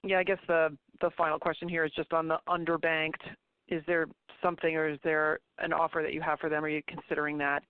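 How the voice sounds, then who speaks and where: A man's voice: a little high, level tone, fast talker, clear, resonant tone, no echo. A man speaking as a financial analyst, asking roundabout, slightly hesitant questions on a conference call. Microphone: phone call. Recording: dry, tinny phone line.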